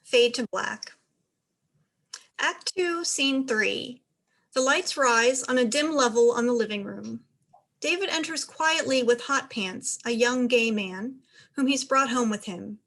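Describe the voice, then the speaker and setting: A woman's voice: on the high side, slow pace, a bit bright. A woman narrator reading stage directions aloud as scripted narration.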